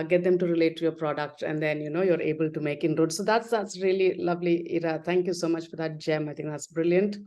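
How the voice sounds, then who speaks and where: Lower-pitched woman moderating a panel. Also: a little mellow. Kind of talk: conversational moderating.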